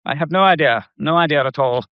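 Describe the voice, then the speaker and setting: Nervy, high-pitched British gentleman played by a man. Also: a little fast, no echo, close to an everyday voice. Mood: flustered, evasive. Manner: stammering, formal, insistent repetition. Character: nervy, evasive, fussily formal gallery man. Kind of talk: period British radio drama acting. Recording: dull, muffled lo-fi audio.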